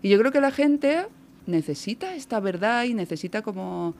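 A woman's voice: medium pitch, expressive, normal speed, vocal fry, a little mellow, a little hiss or hum. Chatty Spanish woman with neutral accent. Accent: neutral Spanish from Spain. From Spain. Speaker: guest. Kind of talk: casual radio chat.

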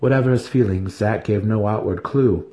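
A man's voice: fairly low pitch, normal speed, mellow. A man giving a dramatic scripted narration as an audiobook reader.

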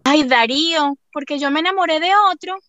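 Una actriz de radionovela que interpreta a una joven venezolana nerviosa y respondona, de habla coloquial y exclamativa, con acento venezolano. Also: female voice, high-pitched, brisk, sharp tone, dry recording, dull audio. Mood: defensive. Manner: colloquial, exclamatory. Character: nervous, feisty, plain-spoken young Venezuelan woman. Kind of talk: radio soap opera acting.

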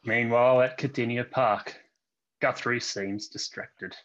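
A man's voice: mid-range voice, steady tone, a little slow, fairly bright tone, highs cut off. A man reading comic fan fiction verse aloud like a mock-romantic storyteller. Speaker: podcast co-host.

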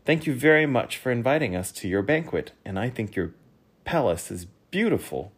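A man's voice: mid-range voice, very animated, moderate pace, husky. A man voicing a polite, somewhat shy young boy. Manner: polite, hesitant.

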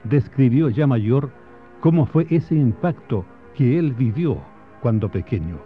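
A man playing a solemn storyteller, narrating patriotic history and memories in a measured, literary radio drama style. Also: medium pitch, expressive, natural voice. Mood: solemn, reflective. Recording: muffled radio broadcast, faint music behind.

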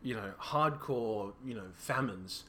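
Young Australian guy, bright forceful voice, rambling chatty guest, faint noise behind. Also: mid-range voice, slow pace. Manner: rambling, laughs often, restarts a lot. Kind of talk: casual podcast chat.

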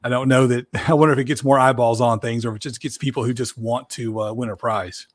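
A man's voice: mid-range voice, fairly even intonation, fast, dry recording. A man hosting a live-stream podcast, chatting casually and rambling a bit, with a scratchy, strained voice. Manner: chatty, rambling, self-deprecating. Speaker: podcast host.

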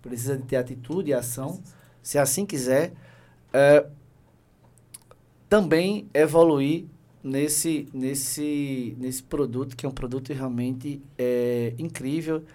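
Halting, joking middle-aged male host. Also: medium pitch, dark tone. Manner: halting, many fillers, joking asides. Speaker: podcast host, insurance team leader. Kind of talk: casual live podcast chat.

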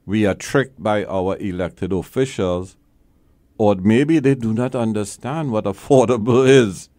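A man's voice: fairly low pitch, a little slow, warm tone, dry recording. A man hosting a call-in legal advice show as a lawyer, talking bluntly and with emphasis, repeating his key points.

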